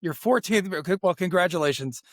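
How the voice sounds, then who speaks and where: Male podcast host, lighter higher pitch, upbeat, informal interview chat, dry studio-close sound. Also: expressive, brisk, no echo.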